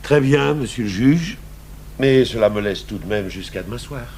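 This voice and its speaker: An actor playing a seasoned, calm police commissioner in a radio drama, measured and deliberate, firm and patient. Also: male voice, mid-range voice, natural voice. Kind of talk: French radio drama acting. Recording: hummy, noisy old radio broadcast.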